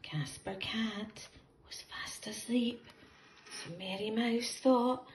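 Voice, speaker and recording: A middle-aged woman reading a picture book aloud to young children in an expressive, deliberate storytelling way. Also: fairly high pitch, a little hiss or hum, rough recording.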